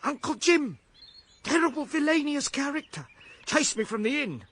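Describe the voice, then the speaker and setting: A man's voice: very high-pitched, very animated, normal speed, a bit bright, exaggerated voice. An actor playing an anxious middle-aged everyman with nervy, colloquial speech in a radio drama. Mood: frightened.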